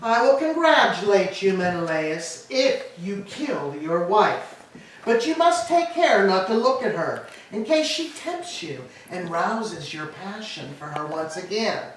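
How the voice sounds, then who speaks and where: A woman's voice: medium pitch, very animated, unhurried. Distant reverberant sound with faint noise, actress as old Trojan queen, grieving, vindictive, solemn declamation, classical tragedy. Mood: warning, bitter. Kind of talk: staged reading of Greek tragedy. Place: church, live staged reading. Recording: echoey church, distant mic, faint noise.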